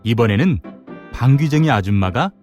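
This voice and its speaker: A man narrating a children's folktale in an animated, dramatic way with playful sound words. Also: mid-range voice, moderate pace. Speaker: storyteller, narrator. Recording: music behind the voice.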